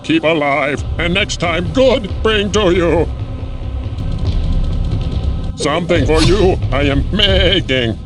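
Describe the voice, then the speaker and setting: A man voicing a cowardly demon creature in a video game, pleading and bargaining in halting, broken speech. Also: fairly high pitch, music in the background, close to an everyday voice. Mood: pleading, servile. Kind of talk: video game character acting.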